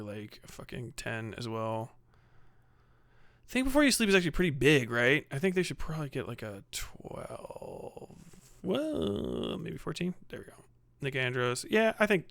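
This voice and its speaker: Streamer, male, casual profane commentary, mumbles asides; smooth voice, dead-dry room. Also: mid-range voice, a bit bright, dry recording. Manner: profane, muttering to himself while working. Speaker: livestreamer.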